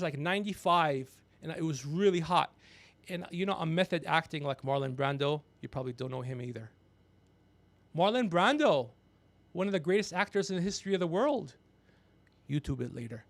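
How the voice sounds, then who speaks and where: A gregarious man hosting a podcast, telling rambling comedic stories with animated, teasing asides. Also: on the high side, no room sound. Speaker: podcast host. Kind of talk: rambling comedic storytelling on a livestream.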